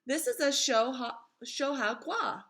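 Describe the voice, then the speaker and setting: A patient storybook mother, played by a woman reading aloud. She has a bright voice and explains things in an assured, reassuring way. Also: sits high, very animated, a little slow, pitched above the performer's own voice, full character voice. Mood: instructive. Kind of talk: children's storybook read-aloud.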